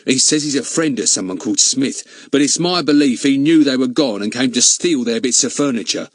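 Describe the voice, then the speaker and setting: A man voicing a thin elderly man who speaks curtly and shouts. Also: medium pitch, a little fast, no room sound, studio quality, voice pushed up, lightly acted. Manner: curt, shouting.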